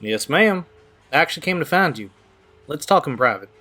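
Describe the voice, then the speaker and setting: An actor playing a playful, excitable male friend in an audio drama, talking in a breezy, folksy way. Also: fairly high pitch, normal speed, faint music behind. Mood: playful, excited.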